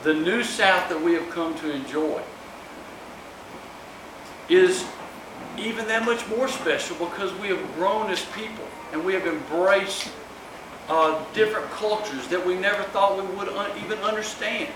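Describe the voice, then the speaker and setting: Higher-voiced man speaking in an echoey room. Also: measured pace. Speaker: author speaking at his book event. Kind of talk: public speech to a live audience. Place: room at a live event, distant microphone. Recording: echoey, noisy room recorded from far off.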